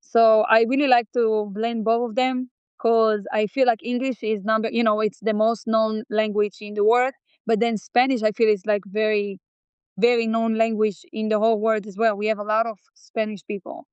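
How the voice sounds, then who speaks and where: Israeli-born woman, guest singer; very smooth, slightly high voice, dull dry recording. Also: little pitch movement, moderate pace, darkish tone, no echo. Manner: earnest, loose non-native English grammar. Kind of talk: casual interview chat. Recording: dull, dry close-mic audio.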